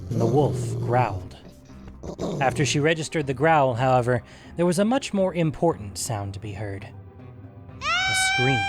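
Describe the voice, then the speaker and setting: A man reading a scripted fantasy story as narrator, in a measured storytelling manner. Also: medium pitch.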